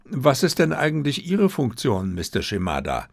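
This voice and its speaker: A narrator playing an inquisitive male master detective in an audiobook. He is brisk and sure of himself, pitched above the narration, in a dry, close recording. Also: wildly swooping pitch, gravelly, dry recording, exaggerated voice. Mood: probing, keen. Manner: brisk, probing, self-assured. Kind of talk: audiobook character dialogue.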